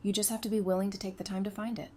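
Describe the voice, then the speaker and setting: A woman's voice: mid-range voice, little pitch movement, very fast, warm tone, lightly acted. A woman playing a witty, hardened apocalypse survivor in a fan audio drama, with a teasing, conversational delivery. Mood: earnest. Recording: clear background noise.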